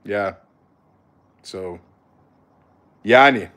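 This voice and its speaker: A man's voice: on the low side, extremely slow, a bit bright. A man with an American accent chatting casually as a streamer, answering questions in a blunt, slangy, conversational way. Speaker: streamer, content creator. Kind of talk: casual livestream chat, answering viewer questions.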